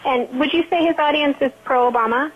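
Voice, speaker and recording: A woman's voice: high voice, brisk. A woman, a newspaper reporter, asking questions casually over a telephone conference call. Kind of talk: casual spoken press question. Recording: dull telephone line with faint hiss.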